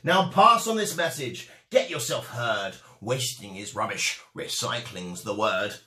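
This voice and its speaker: A man voicing an earnest, bold eco superhero from a storybook, with urgent, drawn-out emphasis. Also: medium pitch, animated, sharp tone, reverberant, pitched below the performer's own voice.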